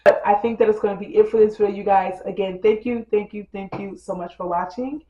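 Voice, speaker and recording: A woman's voice: mid-range voice, steady tone, a little fast. A woman vlogger giving a casual tutorial, chatty and full of fillers, a bit congested from a cold. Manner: addresses viewers as "girl" and "you guys". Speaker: lifestyle vlogger. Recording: dull roomy recording with soft music.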